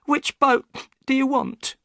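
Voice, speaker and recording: A man putting on a worried working woman, high strained falsetto, sobbing edge, storybook reading, dry sound. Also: level tone, slow, creaky, a bit bright, dry recording, heavily acted. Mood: worried, curt. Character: upset, tearful middle-aged working woman.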